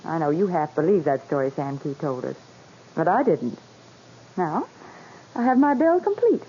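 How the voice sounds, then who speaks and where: An actress playing a headstrong, breezy doctor's wife in a 1940s radio mystery, teasing and sure of herself. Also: female voice, low voice, normal speed, husky, exaggerated voice. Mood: eager, confident. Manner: animated, teasing, self-assured. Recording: dull, noisy old 1940s radio recording.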